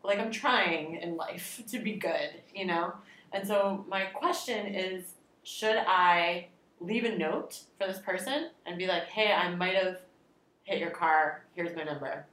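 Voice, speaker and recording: A woman's voice: on the low side, measured pace, a bit bright, roomy sound, low-quality recording. A woman co-hosting a podcast, telling a long self-deprecating story in a casual, rambling way. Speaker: podcast co-host. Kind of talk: casual chat.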